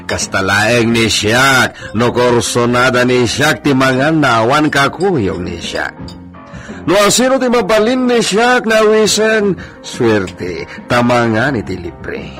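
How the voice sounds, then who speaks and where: A man's voice: medium pitch, big pitch swings, takes it slowly, fairly bright tone. A man with a Filipino accent playing a genteel, flirtatious Spanish-styled señor in a comedy radio drama, with a theatrical, chuckling delivery. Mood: pleased, flirtatious. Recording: music bed under the voice.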